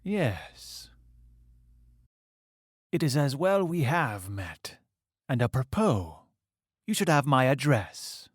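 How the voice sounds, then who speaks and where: Sinister dwarfish male villain: husky broken whisper, drawn-out delivery, cold gothic audio-drama menace. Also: mid-range voice, very animated, no echo, clean studio sound. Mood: cold, guarded. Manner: slow, coolly menacing.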